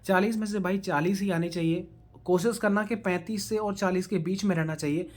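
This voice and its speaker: A man's voice: sits high, fairly even intonation, a little fast. Male exam teacher, question-and-answer drilling. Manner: asks questions, then answers and repeats them; addresses viewers directly, coaching. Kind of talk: quiz-style lecture for online students.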